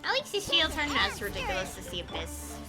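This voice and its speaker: A woman's voice: fairly high pitch, big pitch swings, fast, music in the background. A woman giving casual live commentary as she streams a video game.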